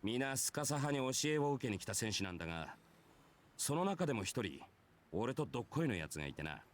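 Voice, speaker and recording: An actor playing a brash, easygoing male warrior in a visual novel, telling his past in rough, casual, nonchalant speech. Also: mid-range voice, big pitch swings, rapid-fire, a little hiss or hum, natural voice. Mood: casual, reminiscing. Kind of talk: Japanese visual novel voice acting.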